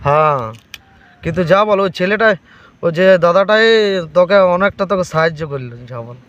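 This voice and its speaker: A high-pitched male voice with a Purulia Bengali accent, chatting casually as a prank caller. He needles the other person and circles back to the same points. The sound is dull and lo-fi, with clear noise behind him. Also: expressive, a little fast, a bit bright. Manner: needling, repeats accusations. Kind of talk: casual teasing phone chat.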